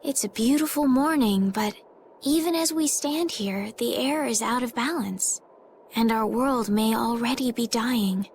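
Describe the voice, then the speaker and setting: A woman playing a gentle, polite, concerned young woman in a fantasy video game cutscene. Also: a little high, a little slow, a little hiss or hum. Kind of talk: English game cutscene voice acting. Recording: music behind the voice.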